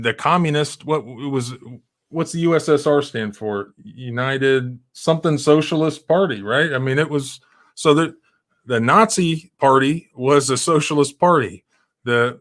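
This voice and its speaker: A man's voice: fairly high pitch, a bit bright. Rambling man hosting a live stream. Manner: rambling, many restarts and fillers, emphatic. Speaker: civil rights lawyer hosting a live stream. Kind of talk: casual live-stream commentary.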